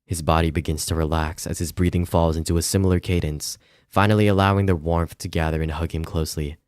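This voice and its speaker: A low-pitched man speaks close and dry into his microphone over soft music. He is streaming a visual novel, casually talking to himself and reading the text aloud. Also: fairly even intonation, fast talker, clear, resonant tone, no echo.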